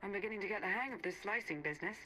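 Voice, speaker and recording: An actress playing a brisk, poised female agent in a video game cutscene, dryly pleased with herself. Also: medium pitch, pushed and bright, soft background music, lightly acted, low-quality recording.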